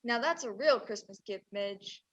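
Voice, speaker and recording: A woman's voice: fairly high pitch, expressive, moderate pace. A woman playing a helpful friend giving advice in an old-time style radio commercial. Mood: advising. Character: helpful, advising female friend in radio advert.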